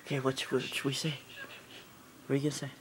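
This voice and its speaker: A man's voice: medium pitch, level tone, quick pace, a little hiss or hum. A young man chatting casually while filming an amateur phone video in a small room. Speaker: friend filming. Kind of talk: casual chat.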